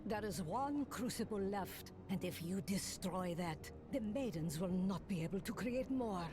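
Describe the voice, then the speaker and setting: An actress playing a wry, self-assured older seer in a video game, with an unruffled, theatrical manner. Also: female voice, mid-range voice, animated, measured pace, very noisy, lightly acted. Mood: earnest. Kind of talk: video game dramatic acting. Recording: loud game ambience behind the voice.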